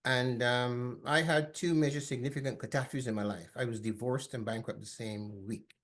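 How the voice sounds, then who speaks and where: A man's voice: mid-range voice, fairly even intonation, normal speed, a bit bright. A man from Barbados speaking as a panelist in a discussion, hesitant and often restarting.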